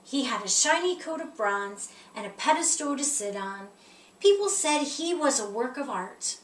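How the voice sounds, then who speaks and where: A woman reading a children's picture book aloud in an expressive storytelling style. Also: high-pitched, normal speed. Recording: roomy echo, distant mic, faint noise.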